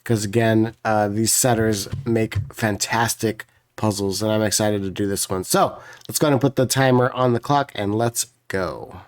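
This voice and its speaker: A man with a hoarse voice hosts a puzzle video, casually explaining the rules in a rambling, apologetic way. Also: lowish voice, steady tone, moderate pace, few pauses. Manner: rambling, many fillers and restarts, apologetic.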